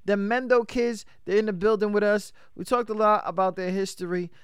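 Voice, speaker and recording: Brief: male radio host, high clear voice, loose hype delivery, dry close mic. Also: fairly even intonation, fairly quick, dry recording. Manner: loose, hype, lots of shout-outs and fillers. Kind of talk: casual hip-hop radio interview.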